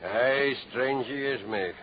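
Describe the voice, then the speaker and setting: An actor playing a gossipy, salty sea captain in a 1930s radio drama, with a chuckling old-salt way of talking. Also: male voice, mid-range voice, slow, close to an everyday voice. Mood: sly, amused. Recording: muffled 1930s radio recording, clamour behind.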